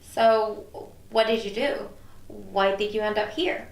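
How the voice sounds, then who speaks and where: A woman's voice: mid-range voice, level tone, takes it slowly. An actress playing an exasperated, disbelieving woman newly stuck in the afterlife, in a dramedy table read. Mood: weary, questioning. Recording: roomy echo, distant microphone.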